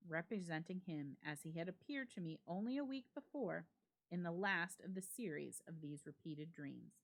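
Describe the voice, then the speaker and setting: A woman giving a dramatic reading of a classic ghost story, theatrical and suspenseful, with deliberate emphasis. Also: on the low side, fairly bright tone. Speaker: library staff storyteller.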